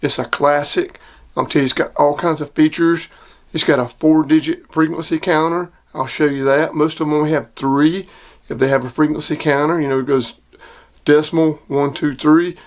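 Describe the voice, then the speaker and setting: A man's voice: fairly high pitch, steady tone, moderate pace. A man casually showing off radio gear, plain-spoken and enthusiastic, like a hobbyist hosting his own video. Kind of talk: casual product demonstration.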